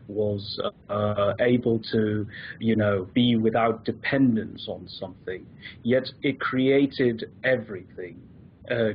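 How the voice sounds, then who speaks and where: A man's voice: on the low side, a little hiss or hum. Hesitant man on a muffled line. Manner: hesitant, many fillers and restarts. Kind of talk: call-in discussion.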